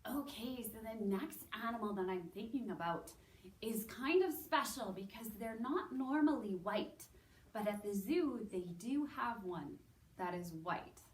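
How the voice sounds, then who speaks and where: A woman with a Canadian accent presenting to young children as a story time host, in an animated way with simple, drawn-out wording. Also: on the high side. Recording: roomy, distant mic with background noise.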